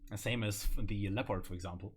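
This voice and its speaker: A man's voice: lowish voice, quick pace, a little hiss or hum. A man presenting game voice lines in casual banter, playful and given to explaining.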